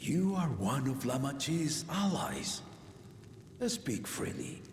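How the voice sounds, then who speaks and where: An aging monarch speaks with slow, stately deliberation. His formal, commanding delivery carries amusement and a gravelly grain. It swells and dips widely, as a regal father in a game cutscene. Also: mid-range voice, sing-song, slight background noise. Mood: wry, commanding. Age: older. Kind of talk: video game cutscene acting. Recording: roomy reverb under loud music.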